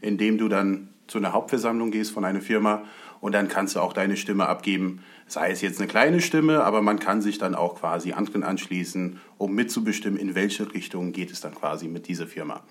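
A man who grew up in the United States, talking through stocks in a measured, explanatory way in a casual conversation. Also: low voice, fairly bright tone.

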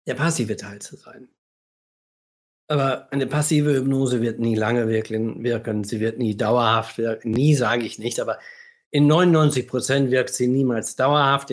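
A man with a scratchy, husky voice, slightly under the weather, giving an emphatic explanatory livestream monologue as a hypnotherapist, stretching key phrases and posing rhetorical questions. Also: mid-range voice.

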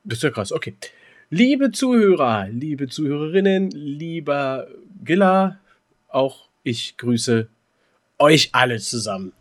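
Higher-pitched man bantering as podcast co-host. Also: very animated, takes it slowly. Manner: teasing, bantering. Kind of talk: casual comedic podcast chat.

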